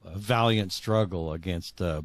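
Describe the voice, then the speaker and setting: A man in his sixties speaking as a guest author in a reflective interview, earnest and halting, often repeating words as he searches for phrasing. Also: low voice, no echo, clean studio sound. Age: 60s.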